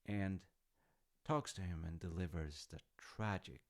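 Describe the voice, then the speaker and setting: A man's voice: low-pitched, measured pace. A man playing an easygoing dad in an intimate audio roleplay, telling old myths casually with wry, understated humour. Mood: wryly amused. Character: easygoing, artsy dad telling Norse myths to his grown child.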